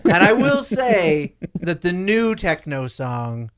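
A man's voice: high-pitched, very animated, slow. A man giving his opinions in casual chat as a podcast panelist.

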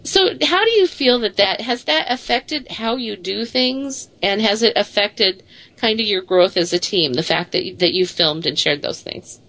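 A woman's voice: medium pitch, expressive, unhurried, a bit bright. A woman in her late forties hosting a casual radio talk show, chatty and self-deprecating, laughing easily. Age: 40s.

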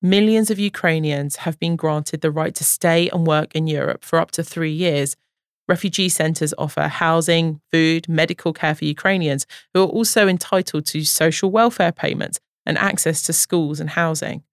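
A woman's voice: sits low, normal speed, dry recording. Woman presenting scripted news podcast, dry studio sound. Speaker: podcast host. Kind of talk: scripted narration.

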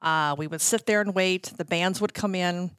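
A woman's voice: fairly low pitch, moderate pace, fairly bright tone, clean tone, no echo. Middle aged american lady swapping old stories, chatty, acts out the dialogue, close dry sound. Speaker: interview guest, former radio promotions worker. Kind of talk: casual anecdotal storytelling.

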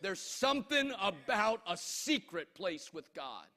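A man's voice: sits high, very animated, measured pace, pushed and bright. A man preaching a sermon as a pastor, emphatic and declamatory, stretching out his phrases for weight. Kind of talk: impassioned sermon.

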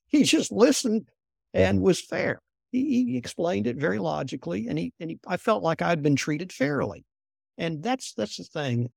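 Soft-spoken older man, gravelly. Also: on the high side, no room sound, clean studio sound. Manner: unhurried, repeats words when restarting. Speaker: guest, former intelligence officer. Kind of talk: conversational storytelling.

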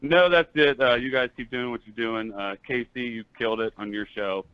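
A man's voice: mid-range voice, a little fast, clear, resonant tone, dry recording. A man calling in to a sports show, chatting casually over a lo-fi line. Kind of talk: casual chat. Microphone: remote call-in line. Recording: dull, lo-fi call audio.

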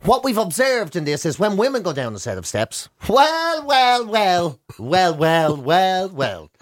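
A man's voice: high voice, very animated, unhurried. An Irish man hosting a radio show with comic, mocking banter, often repeating words for effect. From Ireland. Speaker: radio host.

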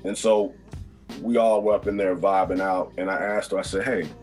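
A man hosting a podcast interview, talking in a casual, halting way with many fillers and restarts. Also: sits low, steady tone, a little mellow, soft background music. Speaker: podcast host.

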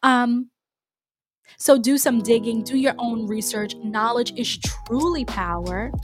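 A woman's voice: fairly high pitch, unhurried, music in the background. A woman hosting a casual solo podcast, a little nasal from a cold, talking in a chatty, rambling way with restarts. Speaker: podcast host.

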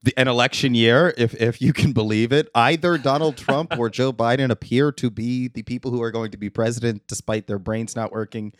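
A man's voice: medium pitch, fairly even intonation, a little fast, hardly any pauses, no room sound. A man hosting a podcast, laid-back and dryly sarcastic, laughing easily. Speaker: podcast host. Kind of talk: casual chat.

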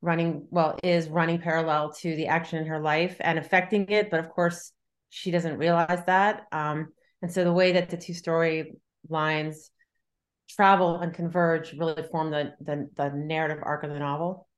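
A woman's voice: deep voice, flat delivery, moderate pace. A woman from the United States talking casually as an author guest in an interview, explaining things thoughtfully. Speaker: debut novelist, guest.

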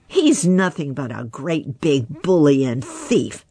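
A storybook reader voicing an angry, sulking young male otter who snaps out indignant retorts in a raised, higher voice. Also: expressive, takes it slowly, mellow, slightly rough, no room sound, clean studio sound, exaggerated voice. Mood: angry snapping. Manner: snapping, indignant.

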